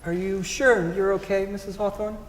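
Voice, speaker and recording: An actor playing an awkward, caring young visitor in a staged musical reading, halting and polite. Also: male voice, high voice, fairly even intonation, natural voice. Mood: concerned. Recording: echoey auditorium, noisy, far from off.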